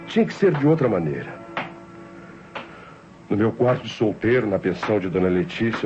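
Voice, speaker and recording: An actor playing a scheming, indebted nephew with Brazilian speech, narrating and acting theatrically in an old radio drama. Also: male voice, low-pitched, natural voice. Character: scheming indebted nephew, coldly plotting his aunt's murder. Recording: dull old radio recording with music behind.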